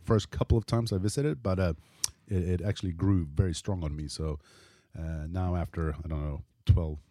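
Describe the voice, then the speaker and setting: A Swedish man in his forties talks casually as a guest. His voice sits low and sounds warm and mellow, and he restarts his sentences as he goes. The recording is dry and close. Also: no room sound. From northern Sweden. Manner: relaxed, halting with frequent restarts. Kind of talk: casual chat.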